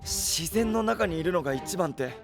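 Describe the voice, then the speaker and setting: A man's voice: high-pitched, expressive, normal speed, over music. A man playing an earnest, simple-minded young hero in an audio drama, speaking in a blunt, naive, boyish way. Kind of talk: anime-style audio drama acting.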